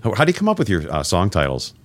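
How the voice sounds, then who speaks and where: A man's voice: deep voice, wildly swooping pitch, very fast, faint music behind. A middle-aged man hosting a radio interview, chatting casually and rambling through nostalgic stories. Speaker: radio host.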